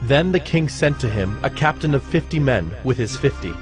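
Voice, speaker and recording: Casting: male narrator, medium pitch, formal and measured scripture reading, clear music bed behind. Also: animated.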